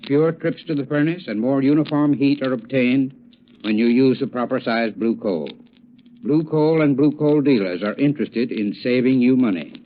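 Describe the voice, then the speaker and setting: Tinny, mid-pitched 1930s radio spokesman. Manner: formal, measured, instructive. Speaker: heating expert, sponsor's spokesman. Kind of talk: scripted 1930s radio advertisement talk. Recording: thin, phone-like old radio with hiss.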